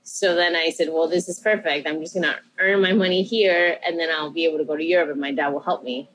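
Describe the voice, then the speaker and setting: A woman's voice: sits low, fairly even intonation, fast talker, a bit bright, poor audio. A woman from Peru, a chef and interview guest, telling her story in a rambling, self-correcting, upbeat way.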